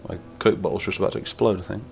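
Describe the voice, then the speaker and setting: A man's voice: deep voice, fairly even intonation, rapid-fire, gravelly, a little hiss or hum. A man from Lincolnshire with an English accent, chatting enthusiastically on a live stream while nasal from a cold. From England. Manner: chatty, enthusiastic, rambling, reading chat aloud. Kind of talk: casual live-stream chat while gaming.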